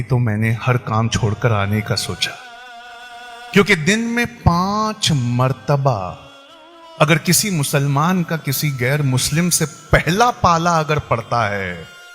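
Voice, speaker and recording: A man with an Indian accent giving impassioned religious oratory in a deliberate, rhetorical, emphatic way. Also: mid-range voice, fairly bright tone. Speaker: religious speaker addressing a gathering. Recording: echoey hall PA with background music.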